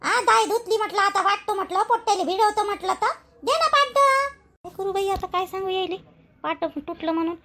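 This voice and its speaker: A woman voicing a chatty village housewife in a Marathi cartoon, speaking colloquial Vidarbha Marathi with expressive, drawn-out phrasing. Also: very high voice, noisy background. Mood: nosy, insistent. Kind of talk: cartoon dialogue in rural gossip.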